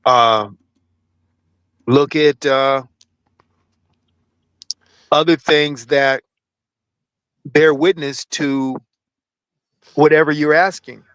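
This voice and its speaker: Dude hosting a stream, rambly and cocky, dry close mic sound. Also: male voice, a little high, steady tone, extremely slow, long pauses, fairly bright tone, clean tone, no echo, poor audio. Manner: rambling, profane, self-assured. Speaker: livestream host. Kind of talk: casual chat.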